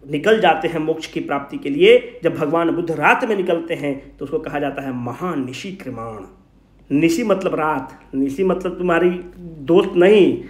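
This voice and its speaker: Male exam coach, very lively intonation, question-and-answer teaching style, roomy echo. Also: fairly high pitch. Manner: animated, rhetorical question-and-answer, addresses students familiarly. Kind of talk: informal exam revision lecture, asking and answering own questions. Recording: roomy, echoing sound.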